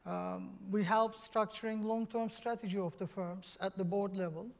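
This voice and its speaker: A man with a Turkish accent answering as a panelist on a conference stage, measured and full of ums. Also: sits high, steady tone, vocal fry, a little mellow. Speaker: panelist, investment executive. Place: large conference hall stage.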